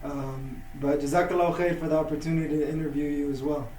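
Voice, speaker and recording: Male interview host, slightly high voice, devout phrasing, roomy echo and faint hiss. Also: fairly even intonation, fast talker. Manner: warm religious phrases, often says alhamdulillah. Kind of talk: casual interview with religious opening.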